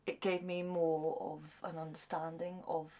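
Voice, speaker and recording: A woman's voice: deep voice, level tone, normal speed, dark tone. A woman co-hosting a reaction show, chatting casually as she watches television. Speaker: newcomer co-host of a reaction show.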